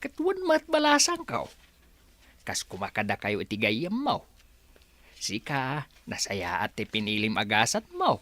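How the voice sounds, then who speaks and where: A man plays a stern, judgmental Filipino father in a radio drama. His delivery is extremely animated, swooping up and down, with a forceful edge and a very dry sound. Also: lowish voice, unhurried, dry recording, exaggerated voice. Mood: reflective, judging. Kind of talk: Ilocano radio drama acting.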